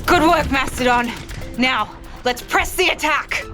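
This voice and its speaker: A woman acts a tough young heroine leading fighters in a fantasy script reading. Her voice is somewhat high and commanding. Faint music plays underneath. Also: a little slow. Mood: urgent, commanding. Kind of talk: fantasy table read acting.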